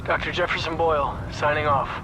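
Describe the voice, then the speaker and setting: A man acting as a hapless male scientist records a video game log in a fairly high voice. He rambles with dry, awkward humor and keeps doubting his own statements. Noticeable background noise sits behind him. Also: fairly quick, lightly acted. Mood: resigned, awkward. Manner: deadpan, rambling, awkwardly second-guesses himself. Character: hapless stranded male archaeologist, wry, rambling, self-doubting. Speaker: scientist recording audio logs.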